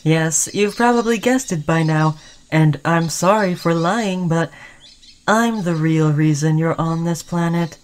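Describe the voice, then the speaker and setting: Lovestruck sentient robot played by a woman, mid-pitched, clingy and earnest, pleading with a veiled threat, for an intimate sci-fi audio roleplay. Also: darkish tone, natural voice. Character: obsessed, lovestruck sentient robot, clingy, caring yet menacing. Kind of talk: roleplay audio drama, intimate second-person monologue.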